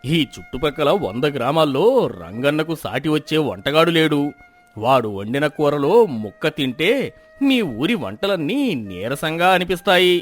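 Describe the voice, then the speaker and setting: An actor playing a proud, commanding village landlord in a moral story cartoon, with a pompous, imperious manner. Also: male voice, on the high side, sing-song, moderate pace, soft background music. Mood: proud boasting. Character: proud, commanding village landlord anxious about his honour.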